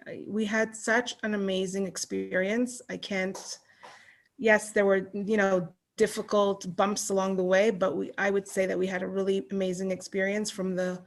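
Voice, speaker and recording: A woman's voice: medium pitch, level tone, moderate pace. A middle-aged Canadian woman chatting as an interview guest, rambling with many restarts and fillers. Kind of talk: casual online chat.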